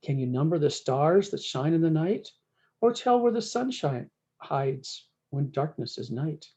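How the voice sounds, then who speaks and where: A woman's voice: low voice, expressive, a little slow, mellow. A woman voicing a mighty, stern cowboy boss God in a storybook, putting commanding questions in folksy rhyme. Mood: stern, challenging.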